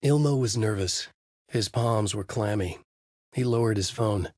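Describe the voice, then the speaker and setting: A haunted male horror writer narrates a video game's story in a measured, brooding way. His voice sits low and has a husky edge. It was recorded dry in a studio booth. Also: darkish tone, no echo. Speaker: video game character narrating. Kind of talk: game voice lines, dark narration. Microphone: studio mic.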